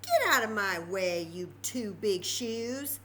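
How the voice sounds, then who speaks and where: A woman's voice: mid-range voice, animated, slow, fairly bright tone, a little hiss or hum. A woman reading a picture book, voicing a fearless, bossy little old lady with firm commands. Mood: defiant, unafraid.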